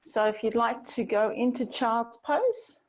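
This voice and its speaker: A woman teaching a yoga class, giving gentle, unhurried guidance with pauses between phrases. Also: on the high side, very animated. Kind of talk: guided yoga class instruction. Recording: slightly dull, lo-fi sound.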